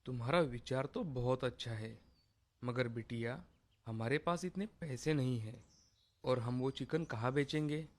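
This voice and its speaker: A man voicing a humble, poor village father turned food seller, polite and deferential, in an animated moral story. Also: medium pitch, a little slow, natural voice. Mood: worried.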